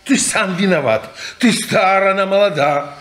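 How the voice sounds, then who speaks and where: A man's voice: sits high, a little slow, a bit bright. An actor playing a fussy, lecturing old man who owns the house, in a 1950s radio play. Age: older.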